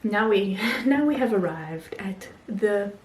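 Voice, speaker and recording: A woman talking casually about films she has watched, pausing often with ums and restarting her sentences. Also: medium pitch, lively intonation, reverberant, low-quality recording. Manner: hesitant, many ums and restarts. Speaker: film vlogger. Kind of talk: casual film review talk.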